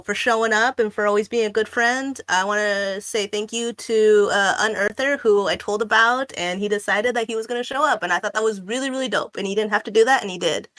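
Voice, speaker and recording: A woman in her late twenties talks casually as a guest on a stream. She rambles, restarts often and fills pauses with "like" and "um". Her pitch sits in the middle. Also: fairly bright tone. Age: 20s. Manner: chatty, rambling, many fillers and restarts. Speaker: guest artist. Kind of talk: casual chat.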